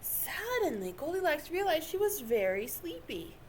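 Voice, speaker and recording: A woman reading a children's story aloud with expressive, dramatic narration. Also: high voice, normal speed, slight background noise. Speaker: storybook reader.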